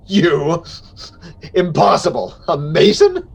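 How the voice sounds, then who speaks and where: An actor playing a boastful, tipsy, scornful nobleman in a theatrical gothic reading, with exaggerated drawn-out delivery. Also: fairly high pitch, huge pitch swings, vocal fry, a little hiss or hum, heavily acted. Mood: mocking glee.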